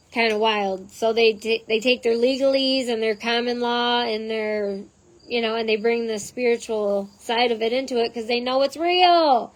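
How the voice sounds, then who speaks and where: A woman with a hoarse voice reading a text aloud and breaking off into chatty side notes, as the host of her own channel. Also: a little high, low-quality recording. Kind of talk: reading aloud with casual asides.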